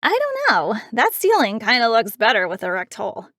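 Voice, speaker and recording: A woman voicing a brash, mischievous teenage party girl in a cartoon, loud and slangy. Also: on the high side, wildly swooping pitch, a little fast, no room sound, heavily acted.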